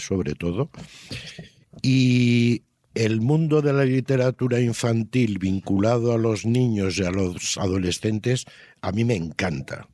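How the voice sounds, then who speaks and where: A man's voice: low-pitched, darkish tone, no room sound, clean studio sound. A man answering as an interviewed author in a reflective, explanatory way, repeating points for emphasis and checking in with short tag questions. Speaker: interviewed children's book author.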